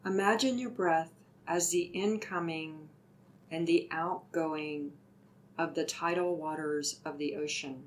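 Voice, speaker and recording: A woman leading a guided meditation with soothing, deliberate, gently instructive delivery. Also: sits low.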